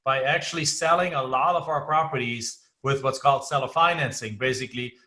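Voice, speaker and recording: Guest: German-accented man in his forties, bright voice, talkative. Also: medium pitch, brisk. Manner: talkative, frequent restarts and fillers. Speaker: guest investor. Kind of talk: casual podcast interview.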